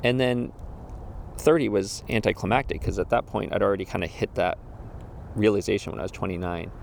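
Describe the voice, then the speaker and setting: A man's voice: lowish voice, steady tone, a little fast, gravelly, darkish tone, slight background noise. A man in his forties musing casually about getting older, rambling with restarts and quoted asides. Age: 40s. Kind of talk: casual reflective monologue.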